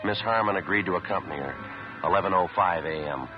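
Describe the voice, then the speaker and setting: An American man playing a terse, steady police detective sergeant in a 1950s radio drama, narrating in a deadpan, matter-of-fact way. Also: low-pitched, quick pace, over music, lightly acted. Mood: somber, clipped. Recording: muffled old 1950s radio recording.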